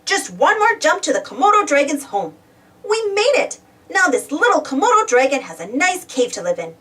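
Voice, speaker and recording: A woman reading a storybook aloud to children in an expressive, exclamatory way, putting questions to her young listeners. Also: high voice, normal speed. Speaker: storybook reader. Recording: distant from the mic.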